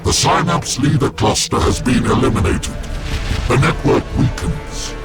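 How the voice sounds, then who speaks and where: A man voicing a noble, measured space marine commander with a formal way of speaking, in a game cutscene. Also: mid-range voice, over loud music, lightly acted.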